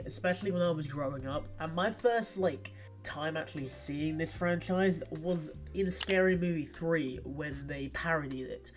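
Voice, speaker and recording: A man giving casual commentary as a guest co-host, chatty, candid and emphatic. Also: high-pitched. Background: music.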